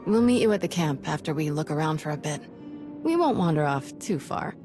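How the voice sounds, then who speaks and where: A woman's voice: deep voice, animated, a little fast. A woman playing a plain-spoken young Jedi companion in a video game, talking informally and candidly. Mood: resolved. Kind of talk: video game dialogue acting. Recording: music behind the voice.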